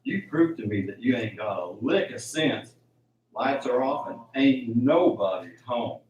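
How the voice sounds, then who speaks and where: Man acting a harsh, slow-spoken scolding father. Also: medium pitch, expressive, a little mellow, lightly acted. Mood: scornful, angry. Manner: scolding, folksy drawn-out rural speech. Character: harsh, bitterly angry father berating his teenage son. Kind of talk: amateur radio drama acting. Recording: dull, roomy, distant, faint hiss.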